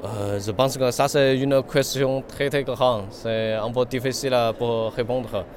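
A young man with a Chinese accent, an artist answering interview questions in careful, halting French full of pauses and fillers. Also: mid-range voice, fairly even intonation, a little hiss or hum. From China.

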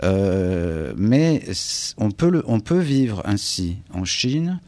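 Male interviewee, French accent, fairly low pitch, dry sound. Reflective delivery that gropes for words and doubles back. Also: sing-song, takes it slowly, warm tone, dry recording. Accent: French from France. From France. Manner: hesitant, searching for words, repeating himself. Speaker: interview guest, English teacher and author.

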